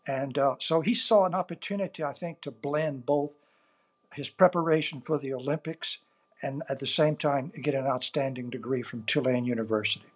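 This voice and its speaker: An older man from South Louisiana with a Cajun accent, a native Cajun French speaker, answering interview questions in an earnest, measured storytelling way. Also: mid-range voice, steady tone, husky. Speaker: author and interview guest.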